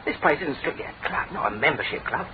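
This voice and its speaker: Tinny old radio, actor as cheeky young brit sidekick, fast and bouncy, busy crowd behind. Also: male voice, a little high, expressive, natural voice. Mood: curious. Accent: British. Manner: breezy, teasing, chatty. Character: cheeky, slangy young male detective's assistant. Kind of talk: old British radio drama acting.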